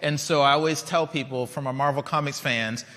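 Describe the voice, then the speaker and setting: A man's voice: a little high, steady tone, brisk, long reverb tail, heavy background noise. A man in his forties who grew up in Liberia, giving a conversational keynote speech and often checking in with his audience. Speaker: keynote speaker. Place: large conference hall.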